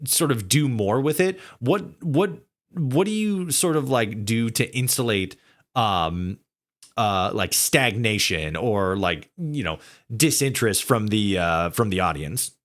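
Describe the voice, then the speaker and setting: Halting, rambling male podcast host. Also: fairly low pitch, lively intonation. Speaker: podcast host interviewing a guest. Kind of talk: casual conversational interview.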